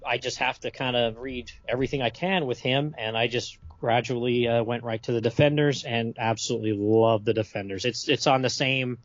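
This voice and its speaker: A man's voice: mid-range voice, steady tone, brisk, hardly any pauses, no room sound, lo-fi sound. A man guesting on a podcast, talking casually and eagerly agreeing. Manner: agreeable, enthusiastic.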